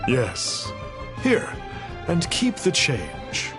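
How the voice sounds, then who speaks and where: Slow, pompous mystical sage. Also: male voice, on the high side, huge pitch swings, over music, full character voice. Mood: curt. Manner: pompous, archaic, cryptic. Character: aloof man posing as a mystical immortal sage. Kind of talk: theatrical video game voice acting.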